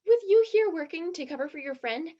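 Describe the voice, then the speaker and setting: Woman playing an eager, high-voiced teenage girl, muffled. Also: lively intonation, fairly quick. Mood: pressing. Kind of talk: one-act stage play acting.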